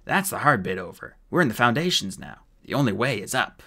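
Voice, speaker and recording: A man voicing a cheeky, animated dwarf convict. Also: mid-range voice, fairly quick, full character voice. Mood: placating. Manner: slangy, flippant wheedling. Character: cheeky, sulky dwarf convict, male, unrepentant.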